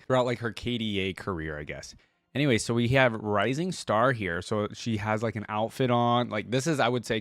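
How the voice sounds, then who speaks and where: A man with a lowish voice hosts a casual reaction video. He thinks out loud, wandering through his points with plenty of filler words. The sound is dry, with very little room on it. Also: no room sound. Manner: rambling, thinks aloud, frequent "like" and "you know". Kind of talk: casual off-the-cuff reaction commentary.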